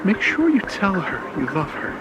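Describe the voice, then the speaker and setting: A man's voice: high-pitched, big pitch swings, normal speed, heavy background noise, voice pushed up. A man voicing a terrified young woman on the run, pleading breathlessly and urgently in a horror radio story. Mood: fearful whisper. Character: terrified pale young woman fleeing evil, desperate and pleading.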